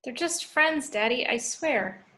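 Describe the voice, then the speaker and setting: A frightened teenage girl, read by a woman at a home table read, pleading in a shaky, breathless way. Her voice sits very high. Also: expressive, slow pace, pushed and bright, full character voice. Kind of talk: amateur table read over video call.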